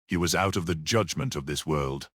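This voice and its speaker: A man voicing a small, composed priest detective in an audiobook story, speaking in a measured, reflective manner. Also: extremely low pitch, fairly bright tone, no echo, studio quality, voice pushed down. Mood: earnest, reflective. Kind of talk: classic detective audiobook character reading.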